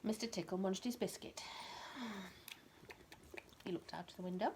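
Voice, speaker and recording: A woman's voice: mid-range voice, normal speed, noisy background. A woman reading a storybook expressively to a small child, explaining things in simple words as a teacher would. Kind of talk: animated storybook read-aloud with asides explaining words.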